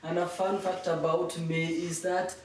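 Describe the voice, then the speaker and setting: Brief: young man, higher voice, heard from across an echoey room. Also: flat delivery, measured pace, distant mic. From Uganda. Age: young adult. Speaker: podcast guest.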